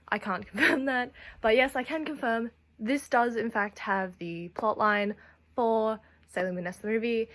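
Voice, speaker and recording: A young woman reviewing anime from her bedroom, rambling and laughing often, her voice hoarse after losing it. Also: a little high, fairly bright tone. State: hoarse, has lost her voice. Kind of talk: casual video review. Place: bedroom at home.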